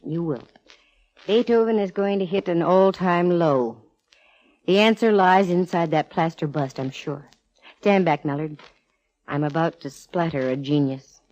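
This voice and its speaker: An actress playing a confident, wisecracking female private detective in a brisk, wry 1940s radio style. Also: on the low side, a little mellow, lightly acted. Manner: brisk, wry, self-assured. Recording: dull, hissy old radio recording.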